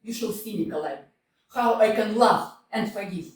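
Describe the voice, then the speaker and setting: An actress playing a proud, grieving young widow in a staged reading, full of theatrical declarations. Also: female voice, mid-range voice, normal speed, distant mic, a little hiss or hum, close to an everyday voice. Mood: defiant. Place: small community theater stage. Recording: echoey stage picked up from afar.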